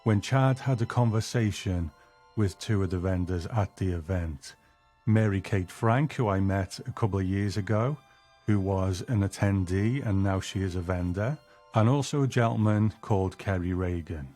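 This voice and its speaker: A man with a fairly low voice narrates as a podcast host. His delivery is scripted and introductory, with soft music playing underneath. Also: moderate pace.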